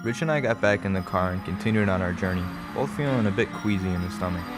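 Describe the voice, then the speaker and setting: A middle-aged man playing a wry, measured father who narrates a strange roadside tale in a radio play. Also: deep voice, close to an everyday voice. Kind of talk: scripted first-person radio play narration. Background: music.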